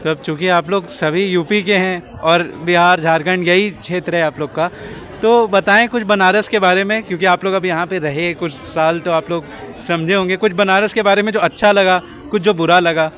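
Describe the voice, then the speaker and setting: A man's voice: high voice, steady tone, normal speed, a bit bright. A man interviewing university graduates, friendly, calling them brother and sister, in an informal chat outdoors. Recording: muffled outdoor recording with crowd noise.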